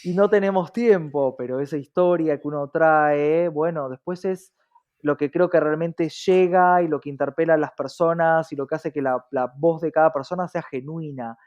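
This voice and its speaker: Man, Argentine accent, highish voice, thoughtful conversational delivery, frequent tag questions, somewhat lo-fi sound. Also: moderate pace, a little mellow. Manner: often checks in with a tag question. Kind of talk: reflective conversational interview talk.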